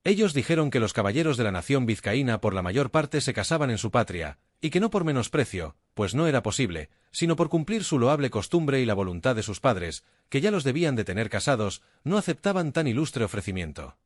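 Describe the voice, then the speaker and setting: A male narrator reads a classic novella aloud with a lively, varied melody and a measured, literary delivery. His voice sits in a middle pitch and sounds dry and close, with no room echo. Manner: expressive, measured literary reading.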